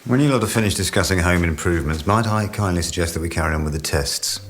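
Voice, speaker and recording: An actor playing a curt, sardonic team boss in a naturalistic radio drama, with a dry, superior manner. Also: male voice, sits low, fast talker, a little hiss or hum, lightly acted. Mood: sardonic, irritated.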